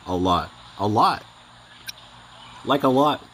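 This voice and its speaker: A man reacting casually to a game, joking around in slang. He talks slowly with a lively, bouncing pitch, and clear background noise sits under the recording.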